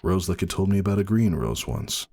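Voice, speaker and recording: A man giving a dramatic first-person story reading as narrator, husky and brooding in manner. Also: deep voice, lively intonation, fairly quick, mellow. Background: faint music.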